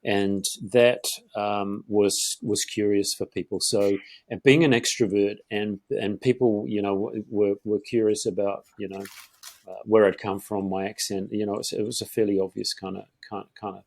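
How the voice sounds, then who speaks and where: A middle-aged man from Northeast England with a Geordie accent softened by years in New Zealand, a podcast guest who talks in a rambling way with many fillers and restarts. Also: fairly low pitch, level tone, moderate pace, vocal fry, no room sound. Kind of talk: conversational interview.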